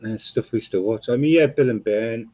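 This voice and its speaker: A man with a Geordie accent, co-hosting a relaxed live stream and chatting casually, swearing freely and rambling between jokes. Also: medium pitch, fairly quick, dull audio. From North East England.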